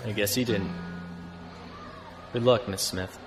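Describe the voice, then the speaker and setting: An actor playing a calm, thoughtful male starship captain, measured and understated, in a sci-fi audio drama. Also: fairly low pitch, over music. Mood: gentle.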